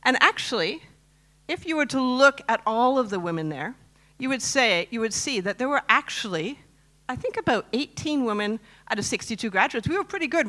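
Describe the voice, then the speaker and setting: A woman's voice: on the high side, sharp tone. Woman, 50s, from Canada; conference speaker, chatty storyteller who asks the audience questions. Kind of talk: personal story talk to a live audience. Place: conference stage.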